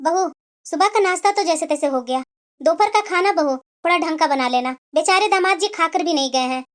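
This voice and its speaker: A woman's voice: extremely high pitch, fairly quick, faint music behind, pitched below the performer's own voice. A woman voicing a fussy elderly mother-in-law who bosses the household in a cartoon. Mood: bossy. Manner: bossy, scolding. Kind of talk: cartoon voice acting.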